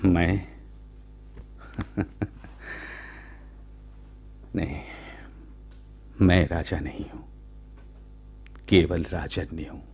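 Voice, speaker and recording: A proud, lovestruck commander of ancient India in a radio play. The voice is deep and very husky. The words come slowly and formally, with halting, courtly pauses. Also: male voice, darkish tone, exaggerated voice. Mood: resigned. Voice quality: gravelly, husky. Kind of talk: slow, theatrical Hindi radio drama acting.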